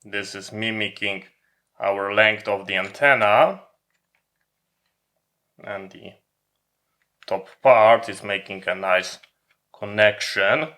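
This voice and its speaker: A man giving an informal hands-on product demonstration as a hobby vlogger, explaining in deliberate, halting phrases with emphatic pauses. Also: lowish voice, pushed and bright.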